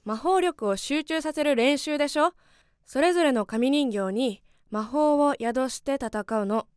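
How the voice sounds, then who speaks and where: High-voiced, blunt, aloof schoolgirl. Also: measured pace, dry recording, clean studio sound. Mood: exasperated. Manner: curt, aloof. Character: cool, blunt, impatient schoolgirl magic student. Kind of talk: anime-style voice drama acting.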